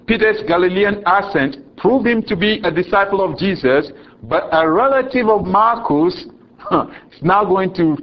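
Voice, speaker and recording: A man from Ghana with a Ghanaian accent, preaching a sermon slowly and deliberately, chuckling often and dropping low for solemn lines. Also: dull audio.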